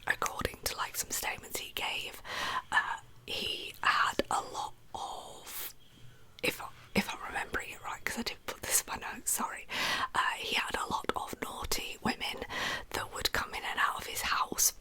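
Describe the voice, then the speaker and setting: A woman's voice: moderate pace, bright, forceful tone, poor audio. Whispering woman telling ASMR stories. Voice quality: breathy unvoiced whisper. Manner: chatty informal asides amid narration. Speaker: host of a true crime ASMR channel.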